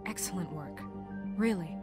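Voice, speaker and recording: A woman playing a stressed, commanding young office leader in a video game, brisk, with music behind. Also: on the low side, natural voice. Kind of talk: video game character acting.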